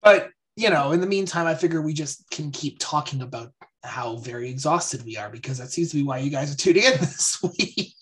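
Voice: mid-range voice, animated, brisk, few pauses, fairly bright tone. A podcast co-host in casual chat, upbeat and teasing, laughing often.

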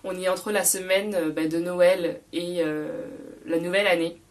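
A young woman with a cold, slightly hoarse and nasal, hosting a relaxed spoken French lesson with many fillers and careful repetition. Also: lowish voice, recorded from a distance. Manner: frequent fillers, repeats key words slowly. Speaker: podcast host teaching French.

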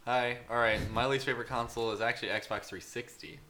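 A man on a podcast giving a casual, halting, unscripted game review. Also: mid-range voice, steady tone, sharp tone, a little hiss or hum.

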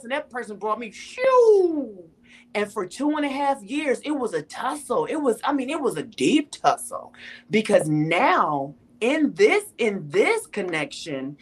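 A woman's voice: fairly high pitch, husky. A woman in her thirties telling her personal testimony in an interview, emphatic and repeating phrases for weight. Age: 30s. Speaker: interview guest.

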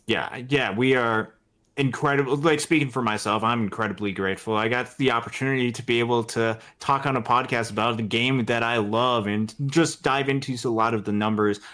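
A man co-hosting a sports podcast, explaining things in a measured, earnest way in casual commentary. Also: medium pitch, fairly even intonation, fairly bright tone.

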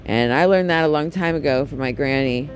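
A man with a deep, raspy voice, chatting casually and candidly on his solo podcast, with frequent ums and self-corrections. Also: fairly quick, soft background music. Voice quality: deep and raspy, especially in the morning. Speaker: podcast host.

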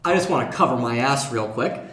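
A man's voice: mid-range voice, lively intonation, fairly quick, crowd noise. Male podcast co-host, informal conversational delivery, roomy echoing sound. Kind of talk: casual panel discussion.

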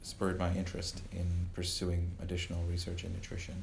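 A man in his thirties from Uganda, a professor answering interview questions in a measured way, with many "uh" fillers and restarts. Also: low-pitched, completely flat delivery, vocal fry, noisy background. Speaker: professor and guest. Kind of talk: podcast interview.

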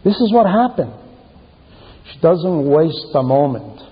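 A man's muffled voice rings through a long hall reverb. He preaches at an unhurried pace, breaking his thoughts into short, emphatic phrases. The delivery is that of a homily told as a story. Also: a little high, sing-song. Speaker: preacher reading a translated homily. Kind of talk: sermon, retelling Bible stories.

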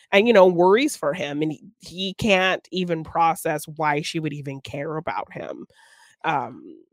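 A woman's voice: low voice, dry recording, clean studio sound. A woman co-hosting a film podcast, talking casually through a discussion of a movie.

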